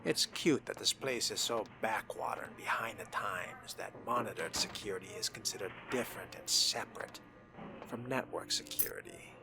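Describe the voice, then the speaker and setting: A man voicing a haughty, scheming fledgling vampire in a video game roleplay, breathy and near-whispered, musing to himself in pompous, disdainful language. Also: lowish voice, normal speed, noisy background, lightly acted. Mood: dry, contemptuous. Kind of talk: in-character roleplay monologue over a video game.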